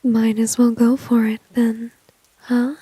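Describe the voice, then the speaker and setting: A woman plays a dominant, flirtatious villainess in an intimate ASMR roleplay. Her whisper is soft, mellow and very breathy, unhurried and smugly teasing. It sits close and dry on the microphone. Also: fairly high pitch, level tone, no echo, poor audio. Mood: flirty, dominant. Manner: slow, teasing, smug, intimate. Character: seductive, dominant female villain teasing a shy enemy.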